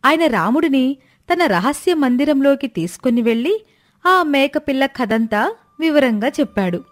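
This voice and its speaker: A woman narrating a scripted moral story in an expressive storytelling style. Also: high voice, a little slow. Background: background music.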